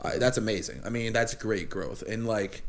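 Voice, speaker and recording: A man's voice: lowish voice, normal speed. A young man hosting a casual podcast, enthusiastic and slangy, full of "like" and "you know". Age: young adult. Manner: enthusiastic, slangy, filler-heavy. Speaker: podcast host. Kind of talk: casual chat.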